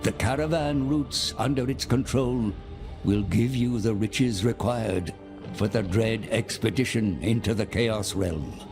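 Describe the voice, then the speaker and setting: A man's voice: fairly low pitch, measured pace, music in the background. A man playing a cunning seer who advises dragons and demons in a video game cinematic, grave, portentous and persuasive. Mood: portentous, solemn.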